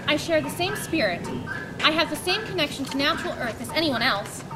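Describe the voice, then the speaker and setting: Girl doing a high, poetic hermit guy, kinda aloof, lots of noise behind. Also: expressive, a little fast. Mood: solemn. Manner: solemn, poetic and dismissive. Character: male philosopher hermit, lofty and aloof. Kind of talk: homeschool dramatic reading. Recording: loud background noise.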